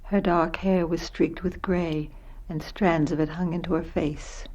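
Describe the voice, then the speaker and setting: A woman's voice: on the low side, flat delivery, unhurried, darkish tone, highs cut off. An actress playing an introspective, wondering middle-aged woman who narrates her own story in a radio play, reflective and self-questioning. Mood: dazed, pensive.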